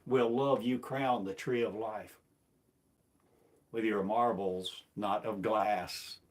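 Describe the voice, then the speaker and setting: A man's voice: lowish voice, steady tone, takes it slowly. A man delivering a rambling, self-recorded sermon, asking one repetitive, incantatory rhetorical question after another. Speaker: self-styled preacher.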